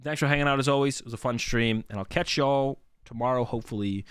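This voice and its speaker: A man's voice: mid-range voice, quick pace, smooth, dry recording, studio quality. A young man hosting a card game stream, chatting casually to his viewers while sick, his voice worn. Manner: relaxed, rambling, repeats himself.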